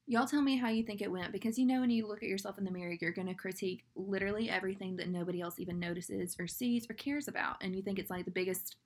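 A woman's voice: mid-range voice, fairly even intonation, fast, few pauses. A young woman with a Southern drawl chats to her webcam, rambling along with plenty of "like"s. She is at home in a small indoor room, recorded through a laptop camera. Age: young adult. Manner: chatty, rambling, fillers like "like". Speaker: vlogger. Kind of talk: casual talk to camera.

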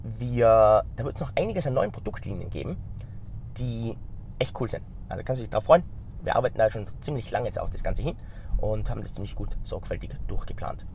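Male vlogger, middle-aged, mild cold; animated direct-to-camera chat recorded on a phone in a car, light street noise. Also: fairly low pitch, a little fast, gravelly. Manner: energetic, gesturing with his hands, talks straight to the viewer. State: stuffy-nosed with a slight cold. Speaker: vlogger and company founder. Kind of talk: casual talk to camera. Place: inside a car in city traffic. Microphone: phone camera mounted in the car.

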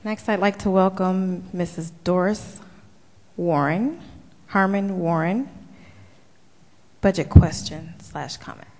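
Low-ish woman announcing speakers at a board meeting, reverberant auditorium. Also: slow pace, pauses often, a little mellow. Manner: brief, formal announcing. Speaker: board member calling speakers. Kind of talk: chairing a school board meeting. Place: large auditorium. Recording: reverberant hall.

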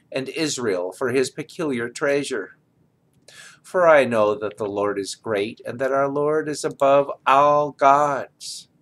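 Older man solemnly reading scripture aloud. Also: medium pitch. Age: 60s. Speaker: scripture reader. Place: small indoor room, office chair.

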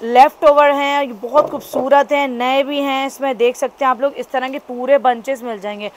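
A woman with a Karachi Urdu accent touring a clothing shop as a shopping vlogger, excitedly repeating prices and praise. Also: high voice, normal speed. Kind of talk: upbeat shop-tour vlog. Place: busy clothing shop indoors.

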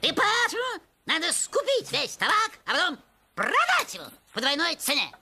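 A man playing a cranky, greedy, forgetful old deaf miser in an animated film, fussy and sputtering. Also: extremely high pitch, normal speed, slight background noise, heavily acted. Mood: gleeful, muddled.

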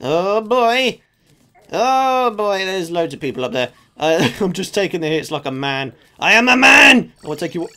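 A man's voice: high-pitched, sing-song, moderate pace, sharp tone. A man with a sore throat commenting casually on his own gameplay, chatty and self-mocking, muttering asides to the game. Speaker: gaming channel host. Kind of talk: casual let's play commentary.

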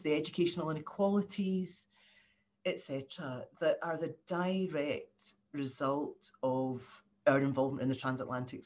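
An older woman from Scotland, a professor giving an earnest, informal speech over a video call. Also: low-pitched. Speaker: university professor, panel speaker. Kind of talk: informal speech at an online launch. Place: living room at home, indoors.

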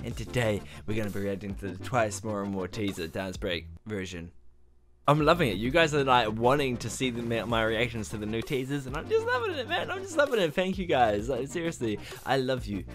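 A young man with a husky morning voice, hosting an excited, slangy music reaction vlog from a small bedroom on a studio mic. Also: mid-range voice, a little fast. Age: young adult. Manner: excitable, animated, exclamatory, slangy. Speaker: reaction channel host. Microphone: studio mic in front, headphones on.

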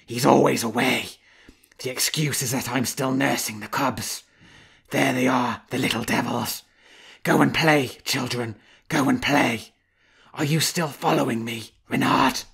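A man reading a storybook, voicing a nervous, amorous young she-wolf who chatters quickly in a raised, raspy, creaky voice. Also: mid-range voice, fairly even intonation, a bit bright, heavily acted. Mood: chattering. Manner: talks quickly, gushing and breathless.

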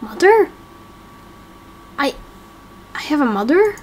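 A woman's voice: sits high, sing-song, takes it slowly, slight background noise, full character voice. A woman voicing a frightened young boy in an amateur game roleplay, hesitant, stammering and pleading. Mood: confused, hesitant.

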